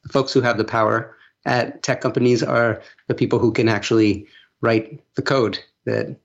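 A man from Venezuela, a guest on an interview, telling his story in a measured, casual way with fillers. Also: medium pitch, steady tone, vocal fry, dull audio. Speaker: guest, education nonprofit founder.

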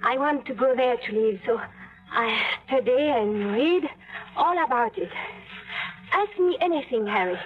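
A woman's voice: fairly high pitch, lively intonation. An earnest, pleading young woman. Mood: eager. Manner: pleading, earnest, slightly halting English. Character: young Peruvian woman, naive, pleading, eager to emigrate. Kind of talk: 1950s radio drama acting. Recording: muffled old radio broadcast with faint music.

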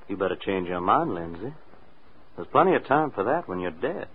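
Boxy vintage radio recording, anxious American company stooge, husky, urgent, blunt, suspicious, slangy. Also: male voice, sits low, big pitch swings, normal speed, full character voice. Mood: insistent. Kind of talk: 1940s radio acting. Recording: muffled, narrow-band old radio recording.

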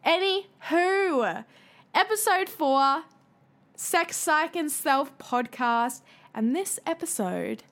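A woman hosting her own podcast in casual solo chat, her voice a little raspy from singing, talking in a chatty, confiding way with fillers. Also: mid-range voice. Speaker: podcast host.

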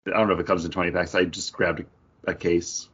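A man from Michigan with a somewhat low, husky voice co-hosts a casual podcast. He speaks in an informal, bantering way. The sound is a bit dull and lo-fi. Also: level tone, quick pace, fairly bright tone. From Michigan, United States. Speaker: podcast co-host.

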